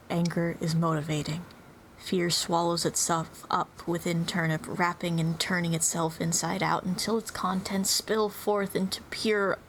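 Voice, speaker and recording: A woman reading scripted narration dramatically, with intense, theatrical phrasing. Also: fairly low pitch. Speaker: player reading own turn in a dramatic roleplay reading. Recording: clearly noisy background.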